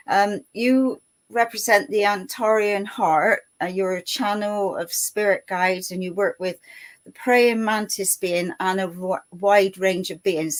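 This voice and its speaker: A woman hosting an interview show, chatty and friendly with many "um"s. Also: mid-range voice. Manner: friendly, chatty, filler-laden. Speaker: show host. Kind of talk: interview host.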